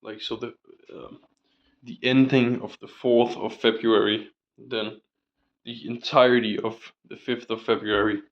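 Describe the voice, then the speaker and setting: A man's voice: fairly low pitch, level tone, a little slow, dull audio. A young man rambling casually in a diary vlog, full of fillers and casual swearing, his voice still recovering from a sore throat. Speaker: vlogger.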